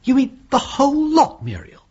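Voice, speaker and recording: An actor playing a peevish, ineffectual middle-aged son with an upper-middle-class English accent, in a radio drama. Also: male voice, extremely high pitch, huge pitch swings, normal speed, a little mellow, heavily acted. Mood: appalled, irritable. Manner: grumbling, exasperated. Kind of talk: British radio drama acting. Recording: muffled vintage radio recording.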